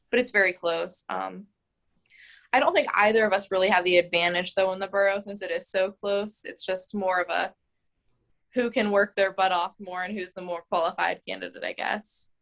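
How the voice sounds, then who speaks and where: A woman's voice: mid-range voice, fairly even intonation, normal speed, vocal fry, fairly bright tone. A woman in her twenties, a mayoral candidate, answering interview questions in a chatty way full of "like" and "um.". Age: 20s. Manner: chatty, frequent "like" and "um", laughs easily.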